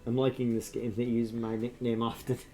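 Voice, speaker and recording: A man hosting a let's play, reading a visual novel aloud with lively, animated delivery and joking asides. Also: medium pitch, a little fast, faint music behind.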